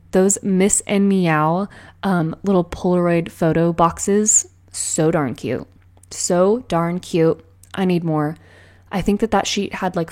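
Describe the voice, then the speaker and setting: A woman chatting casually while decorating a planner, bubbly and rambling, her voice a little raspy in the morning. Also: fairly low pitch, a little mellow. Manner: bubbly, rambling, frequent laughs and filler words. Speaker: planner vlogger. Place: at home with cats meowing.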